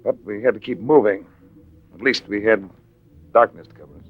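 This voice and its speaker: Low-voiced, hardboiled American adventurer. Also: male voice, steady tone, fairly quick, slightly rough, phone-quality sound. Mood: grim narrating. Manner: clipped, dry, hardboiled. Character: cool, wry American male adventurer-investigator, narrating his own case. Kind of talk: 1940s radio drama acting with first-person narration.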